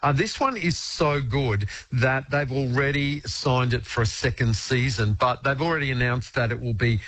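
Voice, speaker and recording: A man's voice: medium pitch, normal speed, a bit bright, dry recording. A man, a TV critic chatting on the radio in an enthusiastic way, with many fillers. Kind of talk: radio review chat.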